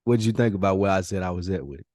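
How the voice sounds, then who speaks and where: Low-voiced man, casual and slangy. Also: lively intonation, rapid-fire, a little mellow, no echo, clean studio sound. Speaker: podcast host. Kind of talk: casual podcast conversation.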